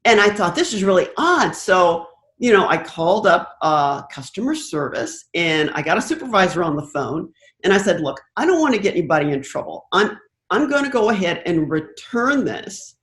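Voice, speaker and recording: An older American woman telling anecdotes conversationally as an interview guest, thoughtful and pausing to find her words. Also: lowish voice, very animated. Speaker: interview guest, broadcast producer. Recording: roomy, echoing sound.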